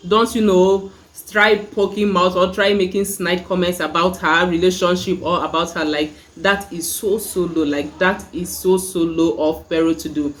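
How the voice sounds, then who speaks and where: A woman's voice: on the low side, steady tone, moderate pace, few pauses. A young Nigerian woman with a Nigerian accent, chatting casually in a review vlog, full of "like" and "you know" fillers. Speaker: YouTube reviewer.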